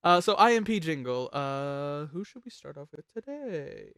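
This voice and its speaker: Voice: medium pitch, sing-song, moderate pace, a bit bright, clear, resonant tone, no echo, studio quality. A host chatting casually while ranking songs on a panel show, jokey, profane and talkative.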